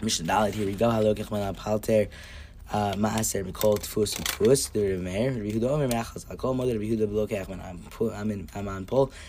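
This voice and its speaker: A hoarse young man hosting an upbeat daily Torah lesson podcast, cheerful and stumbling now and then over Hebrew terms. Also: on the low side, fairly even intonation, quick pace, darkish tone, slight background noise. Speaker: podcast host teaching Mishnah.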